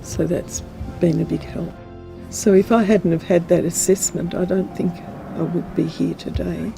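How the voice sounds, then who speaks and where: A woman's voice: on the low side, fairly even intonation, moderate pace, gravelly. An older woman giving a plain personal testimony. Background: music.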